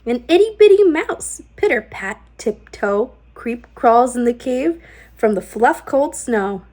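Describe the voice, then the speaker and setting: A woman hosting a children's storytime, reading aloud in an expressive, sing-song way with deliberate rhythmic phrasing. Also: fairly high pitch. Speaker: children's storytime host and read-aloud reader. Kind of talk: expressive picture-book read-aloud.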